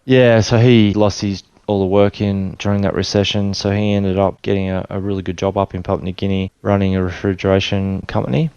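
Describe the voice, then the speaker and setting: A relaxed Australian man in middle age, his low voice staying level and smooth as he rambles through his story. He circles back and restarts often, and the sound is dry and a little dull. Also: fairly quick, few pauses, dry recording. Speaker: interview guest. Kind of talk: casual storytelling interview.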